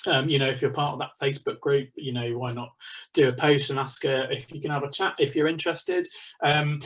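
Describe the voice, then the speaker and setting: A British man in his thirties giving an informal online workshop in a chatty, emphatic way, speaking over a video call from a home study. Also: medium pitch. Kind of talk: informal online masterclass talk. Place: small home study, indoors. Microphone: video call, computer at desk distance.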